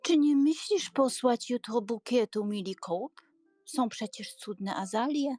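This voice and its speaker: A woman playing a doting, scheming elderly aristocratic aunt in an audiobook drama, coaxing and expressive. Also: fairly high pitch, measured pace, dry recording, clean studio sound, faint music behind. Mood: prodding, indignant.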